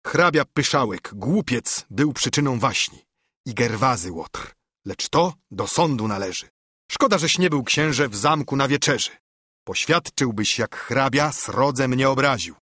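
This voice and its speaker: A man acts out a stubborn country judge in a storybook told in verse. He is hot-tempered and indignant, blustering in exclamations. The voice is slightly rough-edged and the sound is dry. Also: medium pitch, expressive, measured pace, a bit bright, no echo. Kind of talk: dramatised audiobook reading of verse.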